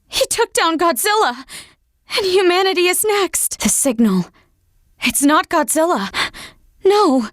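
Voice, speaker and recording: A woman's voice: extremely high pitch, expressive, measured pace, long pauses, fairly bright tone, no echo, studio quality, full character voice. A woman playing an anxious military operator in a video game, giving clipped, dramatic reports on a monster threat. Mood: horrified. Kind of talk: video game voice acting, urgent radio-briefing dialogue.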